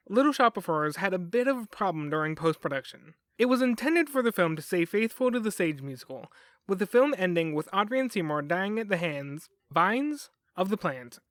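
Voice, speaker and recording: A man's voice: high voice, fairly bright tone, dry recording. A man hosting a scripted documentary podcast, narrating with wry asides.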